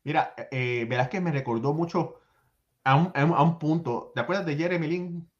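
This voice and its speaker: Muffled lo-fi sound, male, fairly high voice, sports co-host, casual discussion. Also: normal speed. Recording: dull, lo-fi sound.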